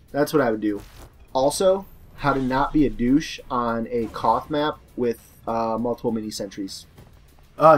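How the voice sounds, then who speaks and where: A young man with a hoarse, sore-throated voice talks through viewer questions in a relaxed, rambling way. He leans on "uh" and "like," restarts his sentences and drifts from point to point. His pitch and pace stay in an ordinary middle range. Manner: rambling, filler-heavy, self-correcting. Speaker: gaming YouTuber. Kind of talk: casual Q&A reading out viewer questions.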